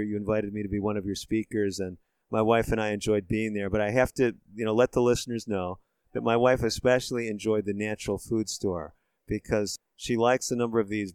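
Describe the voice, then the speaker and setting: Smooth-voiced man hosting an interview. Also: on the low side, a little mellow, no room sound. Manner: friendly, leading questions with summaries. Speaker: radio show host.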